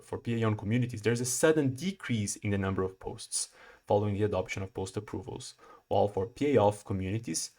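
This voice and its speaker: Lowish-voiced young Brazilian man presenting. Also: a little mellow. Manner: measured, explanatory, with occasional restarts. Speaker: PhD student presenting research. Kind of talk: prepared conference talk.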